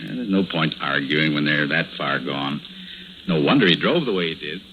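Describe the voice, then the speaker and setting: An actor playing a calm, conciliatory and quietly wry husband in an old radio drama, measured and dry. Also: male voice, rumbling low, highs cut off, background noise. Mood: weary, dry. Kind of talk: mid-century radio drama acting.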